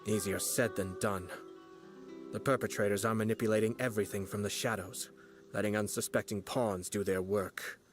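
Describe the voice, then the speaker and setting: A composed young retainer voiced by a man, who lays out plans in a measured, earnest way. His voice sits a little low, with soft music underneath. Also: fairly even intonation, lightly acted. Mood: calm. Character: composed young male retainer, calm strategist. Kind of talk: video game voice acting.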